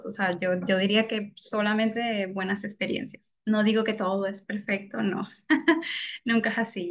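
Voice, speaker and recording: A young woman with a Venezuelan accent speaks as a podcast guest, reflecting openly and filling pauses with "bueno" and soft laughs. Her voice is middle-pitched and even. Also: gravelly. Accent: Venezuelan Spanish. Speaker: guest, data scientist. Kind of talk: casual interview.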